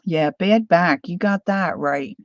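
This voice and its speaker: Slow, gravelly woman with a Philadelphia accent. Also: on the low side, dry recording. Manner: chatty and rambling, reads out viewer comments. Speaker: craft channel host. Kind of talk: casual livestream craft chat. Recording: dull lo-fi livestream audio.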